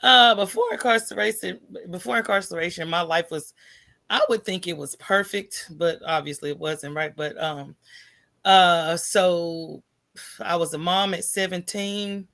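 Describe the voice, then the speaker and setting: A woman's voice: lowish voice, measured pace, gravelly, fairly bright tone, dry recording. A middle-aged woman telling her own story as a podcast guest, candid and informal. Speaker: podcast guest, small business owner. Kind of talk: conversational personal storytelling.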